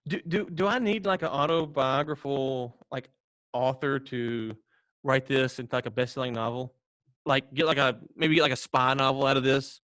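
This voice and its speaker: An American man co-hosts a podcast in a casual storytelling style. His mid-pitched voice rambles along with mock seriousness and frequent joking. The sound is dry and close, with little room in it. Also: fairly even intonation, normal speed, fairly bright tone, no echo. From United States. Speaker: podcast co-host.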